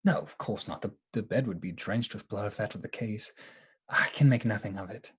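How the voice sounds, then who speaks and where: A man acting in a radio play as an earnest, anxious doctor devoted to the woman he loves, with a halting, emotionally open delivery. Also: lowish voice, fairly bright tone, husky. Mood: puzzled.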